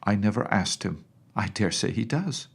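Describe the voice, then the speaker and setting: Man playing a stern, skeptical nursemaid, animated. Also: on the low side, moderate pace, vocal fry, breathy edge, heavily acted. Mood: cold, dismissive. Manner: scolding, dismissive, emphatic. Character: stern, skeptical children's nurse, a grown woman. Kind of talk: acted storybook dialogue.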